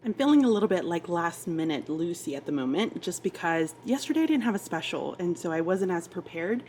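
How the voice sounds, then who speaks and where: A woman's voice: lowish voice, very animated, a little fast, a little mellow, slight background noise. A woman teacher talking casually through her week in a vlog, chatty and a bit tired, with a sleepy voice. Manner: chatty, with frequent ums. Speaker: fourth grade teacher.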